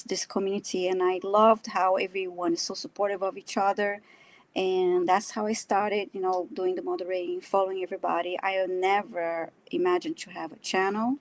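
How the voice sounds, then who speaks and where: A woman from Brazil, a native Portuguese speaker with a Brazilian accent, chatting casually about herself in a halting, self-deprecating way. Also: mid-range voice, poor audio. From São Paulo, Brazil. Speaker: guest, animal communicator and energy healer. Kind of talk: casual personal storytelling in a live chat.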